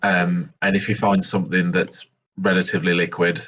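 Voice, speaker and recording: Low, husky man playing a tabletop game. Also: level tone, moderate pace, fairly bright tone. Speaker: player in a tabletop roleplay game. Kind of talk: casual improvised game narration. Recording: dull, lo-fi game recording.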